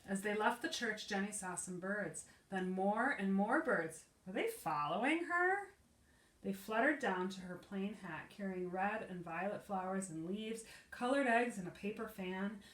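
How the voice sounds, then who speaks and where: A woman preschool teacher reading a picture book aloud to young children, explaining simply and asking them questions. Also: mid-range voice. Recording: echoey room.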